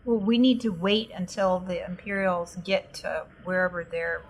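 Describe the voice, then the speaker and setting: A woman's voice: on the low side, normal speed, a little hiss or hum. A woman playing a tabletop roleplaying game, talking through the group's plans in a casual way.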